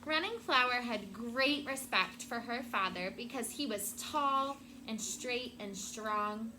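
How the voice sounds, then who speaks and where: A woman teacher reading a storybook aloud to children in a classroom, with expressive, deliberate phrasing and prompting questions. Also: on the high side. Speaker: elementary school teacher. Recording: very noisy classroom recording.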